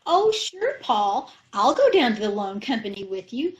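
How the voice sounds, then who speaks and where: A woman's voice: fairly high pitch, sing-song, moderate pace, low-quality recording. A woman playing a scatterbrained young wife who mangles her sayings, in old-time radio comedy style, from home on a video call. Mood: chirpy. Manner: malapropisms, chirpy and sure of herself. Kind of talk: 1930s radio comedy acting.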